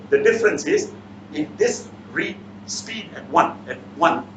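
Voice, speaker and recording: A man's voice: on the high side, fairly bright tone. Echoey Malaysian or Singaporean male seminar speaker. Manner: blunt, interactive, repeatedly challenges listeners with questions. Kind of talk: motivational seminar talk, quizzing the audience. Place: indoor seminar room. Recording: echoey room, distant mic, muffled, faint noise.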